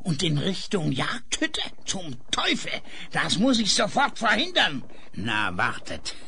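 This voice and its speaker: An actor playing a ruthless, scheming villain in a 1970s radio play, with a raspy voice and a gloating manner. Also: male voice, a little high, wildly swooping pitch, takes it slowly, sharp tone, heavily acted. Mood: alarmed, menacing. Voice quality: raspy, creaky.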